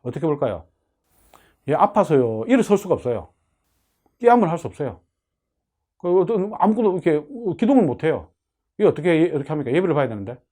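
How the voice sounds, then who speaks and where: A man's voice: a little high, very animated, quick pace, pauses often, dark tone. A man giving an explanatory religious lecture as a teacher, often posing questions and answering them himself. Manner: didactic, asks and answers own questions.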